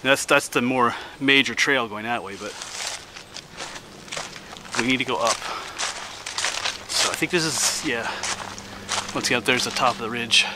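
A middle-aged man from Pennsylvania casually narrating as he hikes a winter forest trail, relaxed and slightly out of breath. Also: medium pitch. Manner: relaxed, chatty, pauses for breath. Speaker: hiking vlogger. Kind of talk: casual narration while hiking. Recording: outdoor noise on camera mic.

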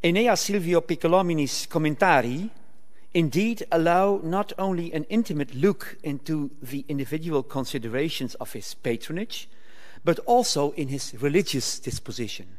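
Older male academic at a lectern, careful formal delivery, fairly high voice, echoing lecture hall. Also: expressive. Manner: measured, formal delivery with occasional stumbles and restarts. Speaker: conference speaker. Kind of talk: reading a prepared academic paper. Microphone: lectern microphone. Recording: reverberant hall.

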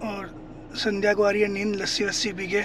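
A man's voice: high-pitched, monotone, fairly quick, background noise. A man chatting and joking as he vlogs a family road trip, laughing often. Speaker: travel vlogger. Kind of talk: casual family travel vlog. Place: roadside stall, car and family home.

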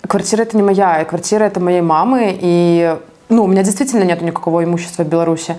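Lower-pitched woman, conversational interviewee. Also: rapid-fire, warm tone. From Belarus. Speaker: activist interviewee.

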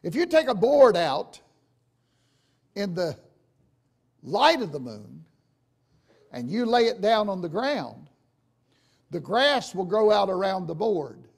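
Raspy older preacher, high-pitched for a man. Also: sing-song, slow pace, long pauses. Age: older, 60s or 70s. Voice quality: raspy, gravelly. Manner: emphatic, folksy, addressing listeners directly with questions. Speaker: preacher, church bishop. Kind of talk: Pentecostal sermon to a congregation. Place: church sanctuary, at the pulpit.

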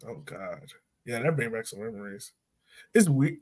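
A man's voice: mid-range voice, expressive, normal speed, a little mellow. An American man in his thirties hosting a sports podcast with casual banter over a video call. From Richmond, Virginia, United States. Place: room at home.

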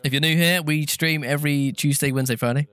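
A man's voice: on the high side, fairly even intonation, fast talker, a bit bright, no echo. A man from Britain co-hosting a game stream, chatting casually, thanking donors and singing little jingles. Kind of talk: casual chat while gaming. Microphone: gaming headset.

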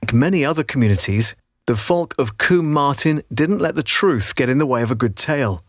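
A middle-aged man narrates a promotional script as a measured storyteller. His pitch is average for a man. The recording is close and dry, as from a voice-over booth. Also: animated, no echo. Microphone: close booth microphone.

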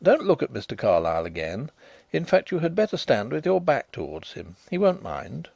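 A man voicing a composed gentleman detective who speaks in a measured, detached way. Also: medium pitch, wildly swooping pitch, vocal fry, no room sound, dull audio, pitched above the performer's own voice, exaggerated voice.

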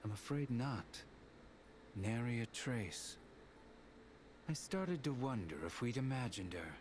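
An actor playing a cold, aloof young villain in a game drama, measured and stern. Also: male voice, mid-range voice, lively intonation, a little hiss or hum. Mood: grave, cold. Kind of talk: dramatic video game voice acting.

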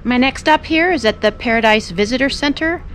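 A middle-aged woman with a fairly high voice, filming herself at arm's length outside by roads and trails. She explains the stops in an easy, informative way, and steady outdoor noise runs under her words. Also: a little fast. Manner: relaxed, informative tour-guide talk. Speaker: hiking vlogger. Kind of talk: casual travel vlog narration. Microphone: handheld camera at arm's length.

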